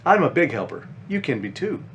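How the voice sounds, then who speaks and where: A man's voice: fairly high pitch, very animated, brisk, fairly bright tone, a little hiss or hum. A man voicing a caring five-year-old big sister bunny from a children's picture book, explaining things simply and earnestly.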